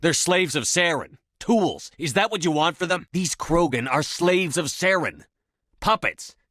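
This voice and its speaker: An actor playing a tough, decisive space-marine commander in a video game, speaking in a clipped, authoritative military manner. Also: male voice, on the high side, expressive, unhurried, fairly bright tone, no room sound, studio quality. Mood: stern, forceful. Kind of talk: video game dialogue lines.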